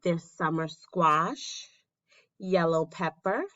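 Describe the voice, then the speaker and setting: A woman reading a children's picture book aloud as a teacher, with simple, deliberate phrasing and questions for young listeners. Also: lowish voice, steady tone, a bit bright, highs cut off.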